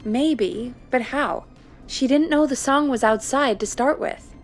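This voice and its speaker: A radio producer in a video game, dry and bossy with sardonic teasing. The voice is fairly high, and faint music plays behind it. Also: female voice, slow, heavily acted. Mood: sardonic, impatient.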